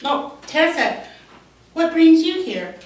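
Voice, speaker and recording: A woman's voice: extremely high pitch, sing-song, slow, heavily acted. A woman at a table read playing a nervous, hesitant young countrywoman, in an echoey room. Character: young, nervous, pretty countrywoman, mildly apologetic. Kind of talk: amateur screenplay table read. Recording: echoey room, far from mic, hiss.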